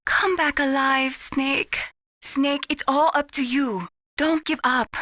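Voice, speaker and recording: A woman voicing an earnest, caring young mission support analyst in a video game, encouraging and gently solicitous. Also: sits high, steady tone, takes it slowly, no room sound, clean studio sound, heavily acted. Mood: pleading, encouraging.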